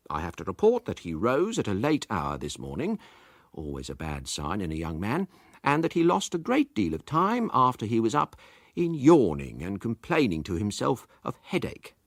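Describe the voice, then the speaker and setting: A man's voice: no echo, clean studio sound, exaggerated voice. An actor playing a pompous, self-satisfied amateur detective, narrating his report in a precise, theatrical manner. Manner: pompous, precise, highly expressive. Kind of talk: theatrical first-person story narration.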